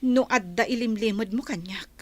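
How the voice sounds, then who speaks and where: An actress playing a worried, principled wife in an Ilocano radio drama, earnest and reproachful, with an Ilocano accent. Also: female voice, fairly high pitch, normal speed, no room sound, lightly acted. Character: worried, principled wife confronting her scheming husband.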